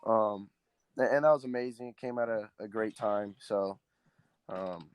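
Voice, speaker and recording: A teenage quarterback from Southern California, a native Spanish speaker, answering interview questions earnestly with many ums and restarts. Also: male voice, on the low side, unhurried, clean tone, dry recording, dull audio. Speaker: high school quarterback guest.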